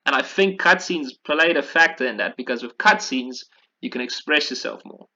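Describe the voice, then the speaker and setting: Man, bright forceful voice, casual podcast host, rambling explanations, slightly dull audio. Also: medium pitch, animated, normal speed. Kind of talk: casual video game history discussion.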